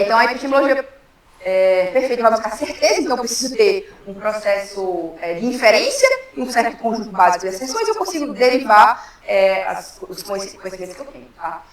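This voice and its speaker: A woman's voice: mid-range voice, sing-song, fast. A woman with a Brazilian accent giving an explanatory academic talk in an informal way, often adding little tag questions to check in with listeners. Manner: informal, frequent tag questions seeking assent. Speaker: academic workshop speaker. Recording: very echoey room, distant microphone.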